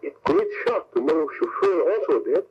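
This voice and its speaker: A man's voice: fairly high pitch, very animated, moderate pace, breathy edge, full character voice. A man playing a loyal, deferential sidekick valet in an old radio drama, speaking clipped broken English. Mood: curious, deferential. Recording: muffled old radio recording, faint hiss.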